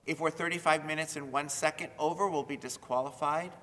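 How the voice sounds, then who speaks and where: A man who directs high school theater, giving explanatory interview remarks. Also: steady tone, a little fast, fairly bright tone. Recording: reverberant hall with background noise.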